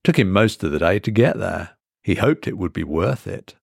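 A man reading a scripted horror story as a dramatic narrator, measured and deliberate with pauses for effect. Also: sits low, animated, vocal fry, breathy edge, no room sound, studio quality.

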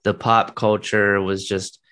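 A man's voice: on the low side, little pitch movement, slow pace, a bit bright. An American man interviewing a guest in a casual chat. He rambles enthusiastically and restarts his sentences often. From United States.

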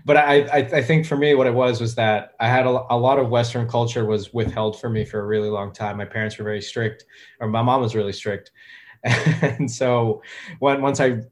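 A man in his thirties, a guest talking casually in an interview, with a relaxed, hesitant way of talking full of fillers and restarts. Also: mid-range voice, normal speed. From United States. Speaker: guest, music producer.

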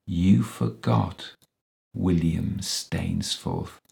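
A man's voice: deep voice, takes it slowly, gravelly, dark tone, exaggerated voice. A man reading a story, voicing a crafty, menacing ex-policeman villain, bitter and self-pitying, who sneers and taunts. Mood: whining, self-pitying. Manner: sneering, taunting, with sudden rises and whines.

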